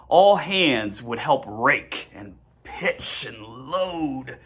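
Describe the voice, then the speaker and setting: A reader narrating a children's storybook aloud in measured, deliberate phrases with the occasional stumble. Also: mid-range voice, fairly bright tone.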